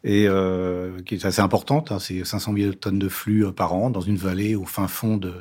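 A man's voice: deep voice, little pitch movement, normal speed, darkish tone, husky. A man in his sixties from Paris, a company president answering questions on a business radio show with confident talk broken by many fillers. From Paris, France. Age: 60s. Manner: confident, hesitant with frequent fillers.

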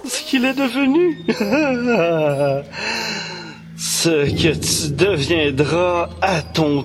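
Villain: gloating mad scientist, slow and theatrical, reverberant hall, music behind. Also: male voice, a little high. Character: demonic mad male professor, gleeful villain. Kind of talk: children's radio drama acting.

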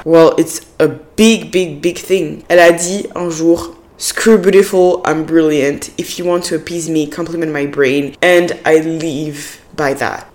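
A young woman from Ivory Coast, speaking without a regional accent and hosting a solo podcast, talking in an animated way and often dropping English phrases into her speech. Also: lowish voice. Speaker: podcast host. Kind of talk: casual solo podcast monologue.

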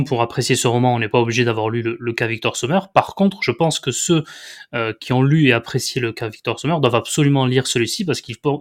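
A man hosting a literary interview, informal and friendly in tone, building long questions with many hesitations. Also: medium pitch.

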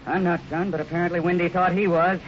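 An actor playing a steady, fatherly ranch foreman in a radio western, earnest and authoritative. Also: sits high, fast talker, natural voice. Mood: grave, urgent. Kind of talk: 1950s radio western acting. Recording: muffled, noisy 1950s radio recording.

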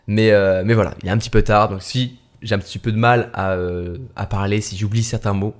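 A young man talks into his podcast mic late at night, a bit tired. His voice sits on the low side, and he stumbles through fillers and restarts in a loose, chatty way. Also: fairly even intonation, fairly quick. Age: young adult. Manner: hesitant, many fillers and restarts. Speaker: student podcast host. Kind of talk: casual solo podcast talk.

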